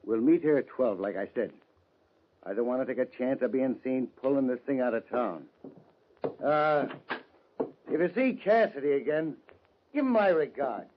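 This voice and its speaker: A man playing a smug, scheming villain boss in an old radio western, with a husky voice. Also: mid-range voice, expressive, normal speed, long pauses, slight background noise, lightly acted. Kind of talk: 1940s-50s radio western acting. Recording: muffled old-time radio recording.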